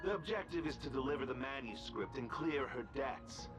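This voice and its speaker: An actor playing a strict, businesslike escort in an anime game, formal, precise and matter-of-fact. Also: male voice, medium pitch, animated, normal speed, natural voice. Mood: stern, businesslike. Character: strict, businesslike male escort, literal and unbending. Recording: game music behind the voice.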